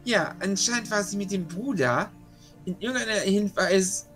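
A man's voice: sits high, moderate pace, a bit bright, faint music behind. A man taking part in a tabletop role-playing game, switching between in-character speech and casual chat.

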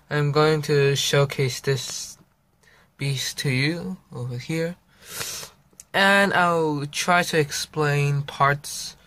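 Slow-paced young man showing a hobby build. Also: mid-range voice. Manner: halting, informal, stops to narrate handling the pieces. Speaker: hobby video creator presenting a toy build. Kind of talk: casual unscripted show-and-tell. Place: indoors, small room at home. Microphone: handheld phone behind the camera.

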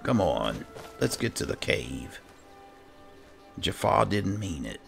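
A man with a deep, gravelly voice giving exasperated, muttering roleplay commentary as he hosts a video game. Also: sing-song, slow pace. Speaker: gaming host. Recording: game music behind the voice. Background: video game sound and music.

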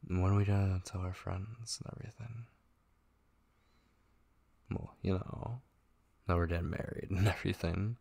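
A man playing a tender, devoted young gamer boyfriend in an ASMR roleplay, speaking softly, intimately and hesitantly with a husky voice. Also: deep voice, steady tone, takes it slowly, pauses often, heavily acted. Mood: playful, affectionate. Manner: soft, hesitant, intimate, with murmured asides. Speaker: ASMR voice actor.

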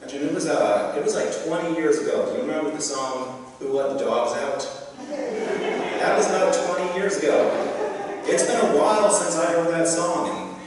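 A man's voice: a little high, big pitch swings, moderate pace, a little hiss or hum. A young man preaching a sermon from a pulpit, earnest and encouraging, with light humorous asides. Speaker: pastor. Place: church sanctuary, speaking from a pulpit. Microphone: pulpit microphone. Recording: echoey sanctuary, heard from a distance.

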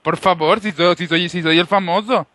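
A man playing an insistent, boastful foreign gamer on a prank call, halting and repetitive, with a put-on Moroccan accent. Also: high-pitched, steady tone, bright, forceful tone. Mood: pushy, boastful.

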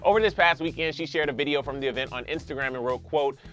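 A man's voice: mid-range voice, quick pace, a bit bright. A young American man presenting scripted celebrity news as a host, with punchy, emphatic delivery. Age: young adult. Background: faint music.

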